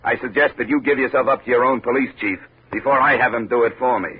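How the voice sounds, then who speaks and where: A man's voice: medium pitch, fairly even intonation, quick pace, slight background noise, natural voice. A man playing a tough, sardonic insurance investigator in a 1950 radio detective drama, with a clipped, wisecracking delivery. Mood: angry, scornful. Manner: wisecracking, hard-boiled, clipped. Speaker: lead actor and narrator. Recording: tinny old 1950 radio recording.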